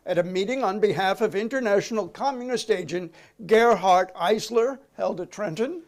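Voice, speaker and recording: Guy acting as 50s hearing counsel, really high voice for a man, official and pressing, reads out in halting phrases. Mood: prosecutorial, formal. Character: formal, pressing male committee counsel questioning a witness. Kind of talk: dramatic reading of a 1950s hearing transcript.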